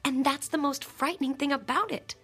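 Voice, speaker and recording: An actress playing a distraught married woman confessing a strange experience to her psychiatrist in a radio drama, anxious and halting. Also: high voice, fairly bright tone, faint music behind. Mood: anxious, bewildered.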